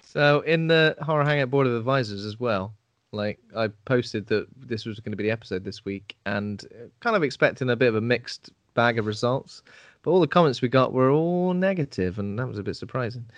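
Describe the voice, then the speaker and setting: A man's voice: mid-range voice, fairly quick, dry recording, muffled recording. Dry-sounding man, chatty. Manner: chatty, trailing off with ums. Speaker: podcast co-host. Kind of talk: casual podcast chat.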